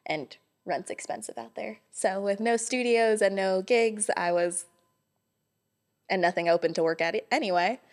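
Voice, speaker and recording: A woman's voice: mid-range voice, normal speed. A young woman from Hawaii, a podcast guest telling her story in a friendly, upbeat way. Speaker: guest, small business owner. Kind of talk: casual interview chat.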